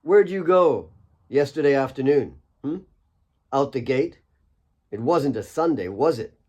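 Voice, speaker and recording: A man's voice: mid-range voice, lively intonation, unhurried, darkish tone. A man voicing a big, confident young male mine boss in an audiobook, blunt and sardonic. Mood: stern grilling. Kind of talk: audiobook character dialogue.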